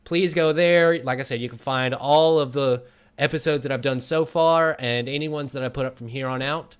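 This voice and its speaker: A man's voice: medium pitch, normal speed, smooth. A man hosting a talk show in a casual interview style, recorded in a bedroom.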